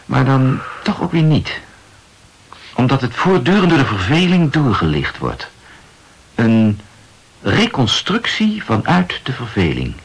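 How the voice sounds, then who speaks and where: A pensive novelist in a radio drama. His husky voice moves freely up and down as he muses aloud. He explains himself earnestly and insistently, like someone working out a theory. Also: medium pitch, huge pitch swings, unhurried, long pauses, heavily acted. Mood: musing, insistent. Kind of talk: Dutch radio play acting.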